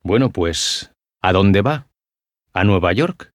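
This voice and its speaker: A man's voice: low voice, lively intonation, slow pace, no room sound, studio quality. An actor playing a curious, friendly young man in language-course dialogues, chatty and full of questions. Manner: chatty, inquisitive. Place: dry studio.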